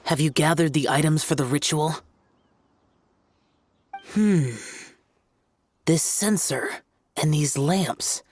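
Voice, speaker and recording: A man voicing a stern, brooding, youthful immortal demon hunter in a video game, speaking formally and gravely. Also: fairly high pitch, slow pace, long pauses. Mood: curious. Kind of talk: video game character dialogue.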